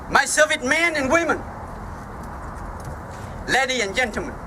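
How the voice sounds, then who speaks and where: A man in his fifties with a Vietnamese accent delivers a formal speech at an outdoor ceremony, slow and halting with weighty pauses. His voice sits very high and sounds bright. Faint traffic noise hums behind him. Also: poor audio. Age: 50s. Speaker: guest speaker.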